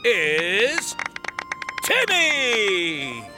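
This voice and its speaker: A tournament announcer in an anime game, formal and grand. The proclamation swings widely in pitch over clear background music. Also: female voice, wildly swooping pitch, extremely slow, exaggerated voice. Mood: grand, proclaiming.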